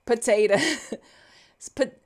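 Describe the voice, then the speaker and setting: A woman's voice: a little high, a little slow, darkish tone. A woman from Louisiana with a Cajun English accent, casually chatting through a livestream coding tutorial. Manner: chatty, reads viewer comments aloud and riffs on them. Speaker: livestreaming developer.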